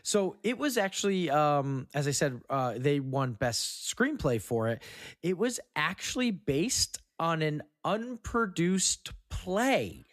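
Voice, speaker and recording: Animated man hosting casual film trivia. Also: a little high, takes it slowly, no echo, studio quality. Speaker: podcast host reading trivia.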